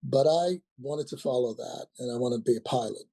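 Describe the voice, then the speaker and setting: A man of about sixty, from Nigeria, answering as a guest in a relaxed interview. His voice is husky and mellow. He hesitates a lot, stopping and restarting his phrases. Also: medium pitch, fairly quick. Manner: hesitant, many ums and restarts. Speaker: guest, company founder. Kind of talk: casual interview talk.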